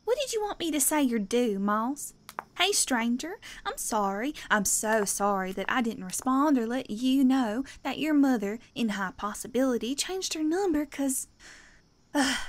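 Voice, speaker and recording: A woman performs a small-town teacher in an audio drama. Her voice is notably high for a woman. She comes across as guarded and defensive yet tender, choosing wordy, earnest phrases with some hesitation. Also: animated, a little slow. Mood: apologetic, defensive.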